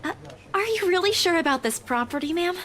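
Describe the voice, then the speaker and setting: Young lady realtor in a game, high voice, very up and down, polite n a bit unsure. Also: lively intonation, brisk, a bit bright. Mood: careful, deferential. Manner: courteous, slightly hesitant. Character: polite young female real estate agent, earnest and deferential. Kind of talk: video game voice acting.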